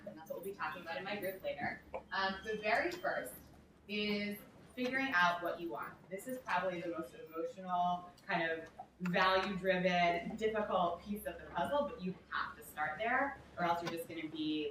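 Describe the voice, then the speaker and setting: A woman's voice: fairly low pitch, fairly bright tone, slight background noise. A young woman giving an upbeat motivational workshop talk, with frequent "um" and "like". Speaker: workshop speaker. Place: large echoey event room. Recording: echoey room, speaker far from mic.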